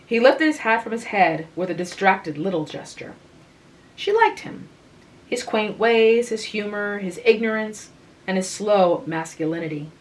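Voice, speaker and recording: Brief: female reader, unhurried relaxing literary narration, middle pitch. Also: low-quality recording. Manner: slow, calm, unhurried.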